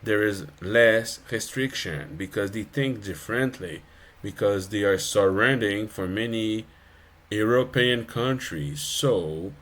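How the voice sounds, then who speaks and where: A man in his forties who grew up speaking neither English nor French, talking into his own channel in a frustrated, rambling way with many halting restarts. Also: fairly low pitch, a bit bright. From outside Canada, moved to Quebec as a child. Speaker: YouTube vlogger. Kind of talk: casual complaint monologue.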